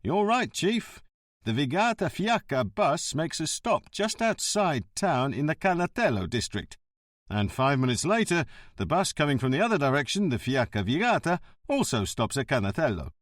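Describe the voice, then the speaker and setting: A man voicing an eager, loyal police officer who reports briskly to his chief. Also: a little high, very animated, no echo, clean studio sound, pitched above the performer's own voice.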